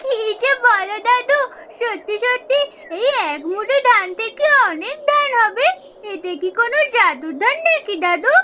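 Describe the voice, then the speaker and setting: A woman's voice: very high-pitched, animated, measured pace, very noisy. A woman voicing a kind, caring little village girl in a fairy-tale cartoon, earnest and coaxing. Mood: curious. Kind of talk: animated fairy-tale dialogue. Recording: music behind the voice.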